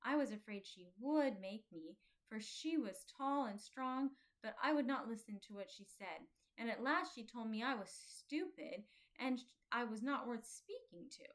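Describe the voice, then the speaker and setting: A woman voicing a quiet, thoughtful young pilgrim boy in a children's storybook, speaking earnestly and plainly. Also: on the high side, lively intonation, unhurried, few pauses. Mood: earnest, recounting.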